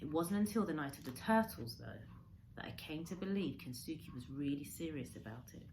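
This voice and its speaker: Teacher lady reading a storybook out loud, low voice, roomy echo, bit of noise behind. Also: lively intonation, normal speed. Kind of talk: expressive storybook narration. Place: indoor room with a window. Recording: echoey room with faint background noise.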